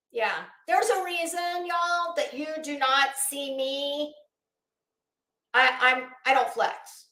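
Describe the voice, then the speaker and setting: Female livestream host, unhurried and high in pitch, emphatic, halting and foul-mouthed, casual delivery. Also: sharp tone. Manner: blunt, sweary, emphatic. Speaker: host of a live commentary channel. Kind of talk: casual livestream rant, chatting to viewers. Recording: echoey, roomy sound.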